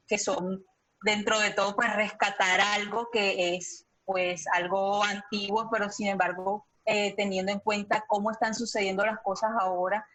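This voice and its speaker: A woman's voice: mid-range voice, fairly bright tone, rough recording. A woman with a Colombian accent giving casual, hesitant feedback as an audience member on a video call.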